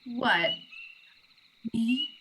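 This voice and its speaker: A woman's voice: a little high, very slow, pushed and bright, slight background noise, exaggerated voice. A woman playing a self-deprecating young sorority treasurer in a dramatic reading, with a dry, wry manner. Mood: wry, unsure.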